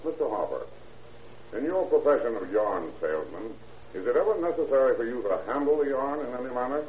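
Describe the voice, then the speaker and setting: A man playing a courteous, methodical defense attorney in an old-time radio courtroom drama, speaking formally and deliberately. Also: mid-range voice, lively intonation, close to an everyday voice. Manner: formal, deliberate, politely lawyerly. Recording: echoey, muffled old radio recording, faint hiss.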